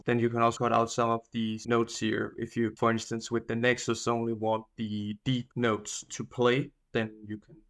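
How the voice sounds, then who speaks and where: A man's voice: mid-range voice, completely flat delivery, moderate pace, hardly any pauses, a bit bright. A man casually narrating a music production tutorial as host, explaining things step by step. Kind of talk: casual screen-recorded software tutorial narration. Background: synth music and vocal effect demos.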